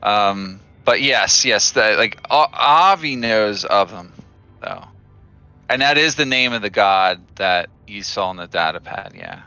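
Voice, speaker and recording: A man running a tabletop game as game master, chatty and relaxed, with many fillers and restarts. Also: lowish voice, unhurried, clear, resonant tone, soft background music.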